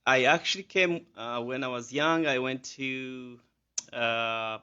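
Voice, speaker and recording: A man's voice: medium pitch, steady tone, slow, bright, forceful tone, clear, resonant tone, dull audio. A man in his thirties from Rwanda with a Rwandan accent, a physician answering radio interview questions with many fillers and restarts. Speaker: physician guest.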